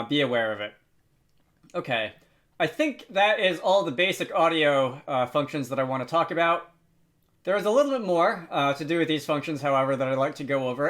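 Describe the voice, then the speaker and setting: A man narrating an unscripted software tutorial, thinking aloud with frequent hesitant pauses. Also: fairly high pitch. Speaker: tutorial host.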